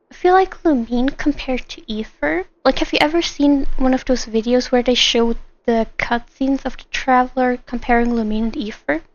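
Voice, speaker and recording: High-pitched woman streaming games. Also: highs cut off. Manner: animated, rambling, acts out imagined lines. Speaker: streamer, gamer. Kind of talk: casual live game stream commentary.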